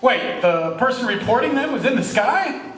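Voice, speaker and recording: Guy playing a pedantic radio station sidekick, kinda high voice, hesitant, far from mic, echoey stage show. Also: big pitch swings, moderate pace, fairly bright tone, exaggerated voice. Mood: nitpicking. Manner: nitpicking, casual, hesitant. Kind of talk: live radio parody sketch acting. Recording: echoey hall, distant, faint noise.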